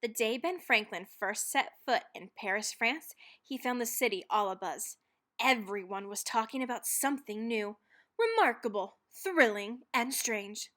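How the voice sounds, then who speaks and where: A woman reading a children's book aloud as narrator, expressively and dramatically, leaning on key words and pausing for effect. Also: on the high side, animated, a bit bright. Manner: dramatic, with stressed words and pauses. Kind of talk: expressive scripted storybook reading.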